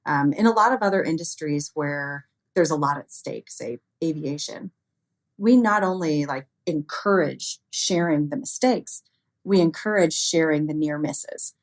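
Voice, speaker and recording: Low-pitched woman, informal expert guest. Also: a little slow. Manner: frequently chuckles, informal, illustrates with acted-out quotes. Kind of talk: conversational interview explanation.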